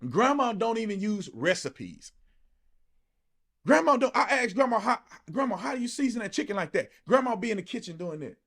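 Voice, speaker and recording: A man's voice: high voice, big pitch swings, fairly quick, a bit bright. A man with an American accent delivering an impassioned, colloquial opinion monologue, emphatic and full of rhetorical challenges. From United States. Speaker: online political commentator.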